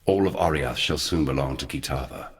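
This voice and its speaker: A man playing an ancient, forgotten god in a video game, somber and weary, speaking solemnly and deliberately in archaic, portentous phrases. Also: a bass voice, lively intonation, in a large hall. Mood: grave. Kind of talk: scripted video game character dialogue.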